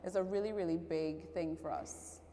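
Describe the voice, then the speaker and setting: A woman's voice: on the low side, steady tone, normal speed, warm tone, a little hiss or hum. A woman with a Trinidadian accent giving conversational answers as an interview guest. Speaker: interview guest, fashion and public relations professional. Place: large echoing indoor event venue. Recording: long hall reverberation.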